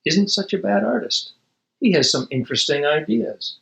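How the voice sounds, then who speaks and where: A touchy young male pig painter, as a man reads him from a picture book, proud and huffy. The voice sits a little high, in an echoey room. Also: expressive, normal speed, gravelly, fairly bright tone, full character voice. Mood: pondering. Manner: huffy, competitive.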